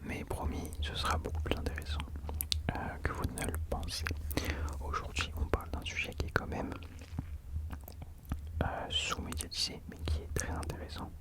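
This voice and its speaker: A man speaking in an unvoiced whisper, soft and informal, chatting as the host of an ASMR video into a close microphone. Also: normal speed, long pauses. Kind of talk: whispered ASMR talk about rap.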